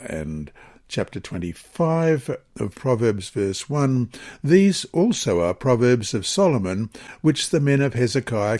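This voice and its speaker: A man reading a scripted religious lesson and scripture passages in a measured, formal, expressive manner. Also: on the low side. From Australia. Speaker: Bible lesson reader.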